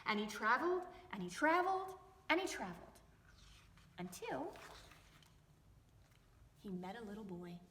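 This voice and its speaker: A woman reads a children's story aloud in a high, very animated voice. She takes her time, stretching words for suspense in a theatrical way. The room adds a noticeable echo. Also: unhurried, long pauses, slight background noise. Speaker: library storytime host and storyteller. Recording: echoey reverberant room.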